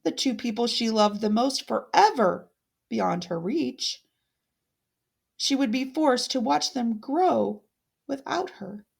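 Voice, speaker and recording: A woman reading a fairytale aloud as a storyteller, with deliberate, dramatic expression. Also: on the high side, expressive, vocal fry, slightly rough.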